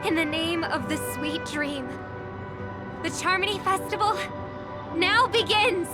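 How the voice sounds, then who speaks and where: A woman's voice: very high-pitched, fairly even intonation, a little slow. An actress playing a devout, hopeful young woman singer, delivering a lyrical, prayer-like game cutscene monologue over score music. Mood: rousing. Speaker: game character.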